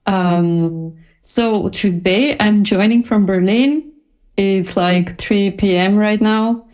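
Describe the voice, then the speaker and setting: A woman's voice: mid-range voice, slow, darkish tone. A woman from Bulgaria, a designer speaking casually as a guest in an interview.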